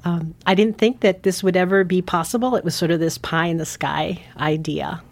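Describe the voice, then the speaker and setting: Female interview guest, deep-pitched, thoughtful and explanatory. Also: fairly even intonation, moderate pace. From San Francisco, United States. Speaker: foundation CEO, guest. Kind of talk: interview answers.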